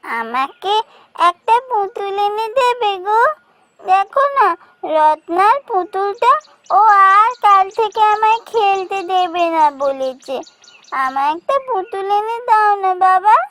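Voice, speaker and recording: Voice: extremely high pitch, expressive, slow pace, fairly bright tone, a little hiss or hum, full character voice. A performer voicing a sweet, eager little village girl in a cartoon, with a pleading, affectionate, childlike manner. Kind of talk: cartoon voice acting.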